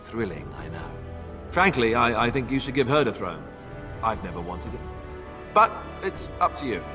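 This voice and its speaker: A man's voice: mid-range voice, very animated, normal speed. A man playing a hesitant, self-deprecating, wry young prince who doubts himself, in dramatic fantasy game dialogue. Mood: reluctant, wry. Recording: long hall reverb, music underneath.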